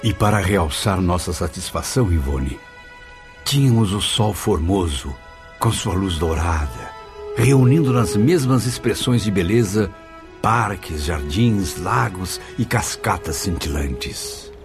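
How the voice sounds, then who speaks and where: A man's voice: low-pitched, expressive, slow pace, full character voice. An actor playing a solemn, reflective male spirit narrating his memories poetically in a radio drama. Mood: contemplative. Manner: poetic, contemplative. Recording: soft music under the voice.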